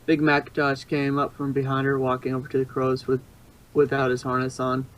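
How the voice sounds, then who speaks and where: A man's voice: mid-range voice, monotone, a little fast, poor audio. A man reading fan fiction aloud as narrator, informal, sometimes stumbling and rereading a phrase. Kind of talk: casual storybook narration.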